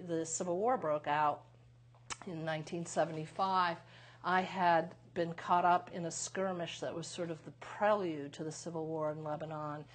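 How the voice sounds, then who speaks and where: Low-voiced woman telling her story. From United States (Massachusetts). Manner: unhurried, reflective storytelling with frequent uh pauses. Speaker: guest, lawyer and author. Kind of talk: conversational interview. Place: TV studio.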